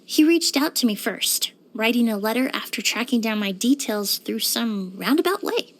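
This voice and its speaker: A poised young perfumer from a video game, with a voice a little on the high side. She speaks in measured, articulate phrases with a refined, polite composure. Her delivery is that of a scripted character monologue, quietly curious and thoughtful. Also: animated. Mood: pleased, reflective.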